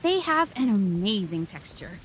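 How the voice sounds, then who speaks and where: A woman's voice: medium pitch, big pitch swings, moderate pace, noisy background, lightly acted. A woman playing a polite restaurant server in a video game, presenting things in a courteous manner. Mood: helpful, polite.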